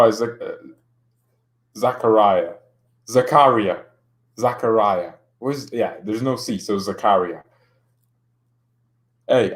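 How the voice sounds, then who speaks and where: A young man casually streaming about football, joking around and reading out chat comments. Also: fairly high pitch. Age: young adult.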